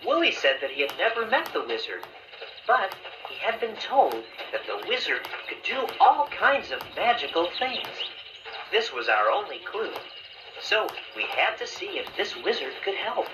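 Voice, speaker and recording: A man playing a friendly storybook bear who narrates a children's tale in a measured way, with echoey playback. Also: high voice, very animated, background noise. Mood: storytelling.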